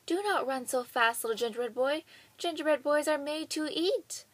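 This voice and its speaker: A woman's voice: high voice, normal speed, pitched above the performer's own voice, natural voice. A woman voicing a stern male farm worker who calls out a firm warning.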